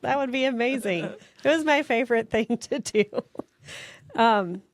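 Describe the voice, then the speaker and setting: A woman's voice: on the high side, lively intonation, moderate pace, no echo. A middle-aged woman chatting casually as a podcast co-host. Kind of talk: casual chat.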